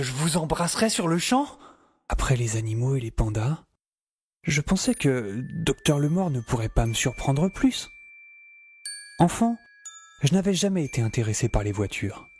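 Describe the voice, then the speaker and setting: Man playing an excitable, hesitant young professor, lively pitch. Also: moderate pace, husky. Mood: awed, excited. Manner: colloquial, hesitant, wry. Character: hesitant, excitable young male professor, wry narrator-hero. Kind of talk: audio drama dialogue and first-person narration.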